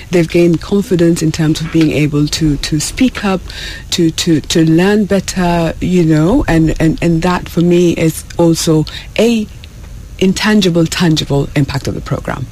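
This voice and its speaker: A woman from South Sudan speaking as an interview guest, halting and often searching for words. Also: low-pitched, fairly even intonation, darkish tone, slight background noise, low-quality recording. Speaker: guest, education program worker. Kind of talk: radio interview.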